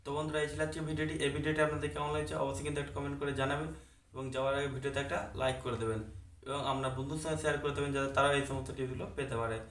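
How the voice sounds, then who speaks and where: Male tech-tips host, very fast informal delivery with a flat, narrow pitch. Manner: repeatedly adds a checking tag to statements. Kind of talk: informal tutorial presentation.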